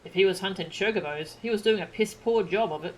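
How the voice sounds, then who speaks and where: A woman voicing a gruff male hunter in a fantasy game, talking in a blunt, colloquial way. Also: mid-range voice, quick pace, natural voice, low-quality recording. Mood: blunt, folksy.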